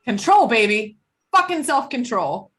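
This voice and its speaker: A woman's voice: a little high, sing-song, a little slow, a bit bright. A woman playing a wiry, weaselly drug dealer in her thirties, brash, profane and slangy, in a screenplay table read. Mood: cocky. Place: remote video call.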